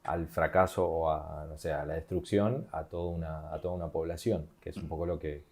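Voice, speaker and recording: Deep voice, mellow tone, Argentine man, hesitant podcast co-host. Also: steady tone, a little fast. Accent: Argentine Spanish. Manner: hesitant, many fillers and repeated words. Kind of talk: casual chat.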